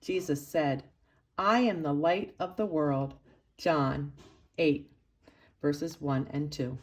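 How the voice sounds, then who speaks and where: A woman reading a children's picture book aloud expressively, with dramatic emphasis and pauses for young listeners. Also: low-pitched. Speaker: storybook reader for children's church.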